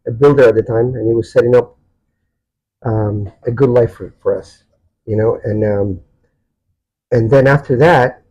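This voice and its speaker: A man in his sixties from Chile, a Spanish speaker, telling personal stories haltingly as he searches for words. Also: medium pitch, mellow, breathy edge, dull audio. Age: 60s. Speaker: podcast guest. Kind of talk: personal storytelling in a casual interview.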